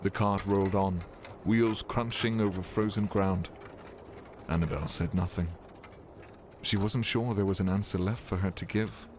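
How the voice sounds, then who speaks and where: Casting: male narrator, deep register, scripted dramatic fantasy storytelling, audible ambience underneath. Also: steady tone, normal speed, darkish tone, slight background noise.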